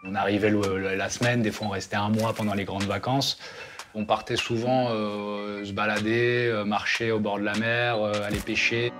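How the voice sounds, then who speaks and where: Laid-back low-voiced man reminiscing. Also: flat delivery, moderate pace, over music. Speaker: brother. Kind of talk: casual interview.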